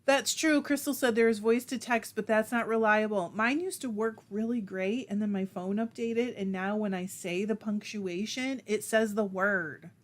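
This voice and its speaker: A woman at a middle pitch hosts a relaxed livestream. She reads viewer comments aloud and answers them in a chatty, self-deprecating way. Laughter keeps slipping into her talk. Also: moderate pace, hardly any pauses. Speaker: livestream host.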